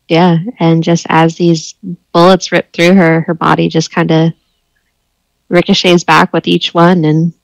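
A woman with a lowish voice speaks slowly and hesitantly as a tabletop game player. She pauses often and chooses her words with care. The recording is dry. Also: vocal fry, dry recording, rough recording. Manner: hesitant, slow and thoughtful. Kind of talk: casual tabletop play.

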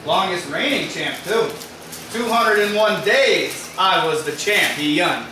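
A man's voice: high voice, animated, slow. A young man, a wrestler delivering a cocky, boastful live promo. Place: small indoor wrestling venue with audience. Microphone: far camera. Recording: very echoey room, far camera, loud noise.

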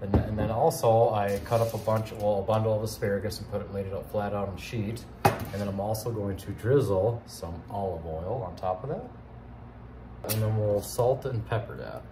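Laid-back man, lowish voice, home kitchen. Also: normal speed, background noise. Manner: relaxed, talks while cooking, step by step. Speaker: cooking show co-host. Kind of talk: casual cooking demo. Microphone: phone camera at counter distance.

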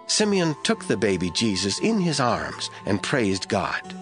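A man giving measured, scripted Bible narration in a storytelling manner. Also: fairly low pitch, huge pitch swings, a bit bright, faint music behind.

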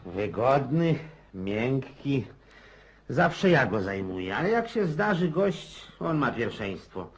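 Boorish landowner, radio drama, folksy bluster, rough husky voice, very animated melody. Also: male voice, medium pitch, slow pace, exaggerated voice. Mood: self-satisfied. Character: boorish, boisterous provincial Russian landowner, domineering husband and father. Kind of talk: Polish radio drama acting.

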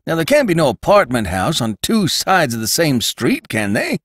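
A prying, gossipy old landlord, put on by a man reading a story, talking in a rustic drawl. His voice sits high and leaps around in pitch. The sound is close and dry. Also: wildly swooping pitch, normal speed, a bit bright, dry recording, exaggerated voice. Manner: folksy rustic dialect, prying. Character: suspicious old male boarding-house keeper, nosy, gossipy.

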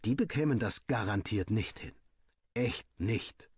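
A man reading a first-person story like an audiobook, expressive and playful with boyish indignation. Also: lowish voice, measured pace, husky, no room sound, studio quality. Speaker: story narrator. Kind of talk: animated first-person scripted narration, like an audiobook.

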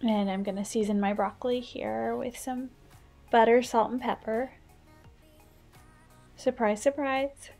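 A woman's voice: fairly high pitch, fairly even intonation, measured pace, creaky, long pauses, a little mellow, faint music behind. A woman in her thirties, a stay-at-home mom, giving a casual, practical voice-over as she cooks and shares kitchen tips. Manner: chatty, practical, explains tips as she goes.

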